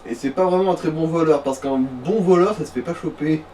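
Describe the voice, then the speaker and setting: A man's voice: on the high side, fairly quick, mellow. A man trading casual, slangy, teasing banter as a game co-commentator. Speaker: let's play co-commentator. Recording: distant mic, faint background game noise.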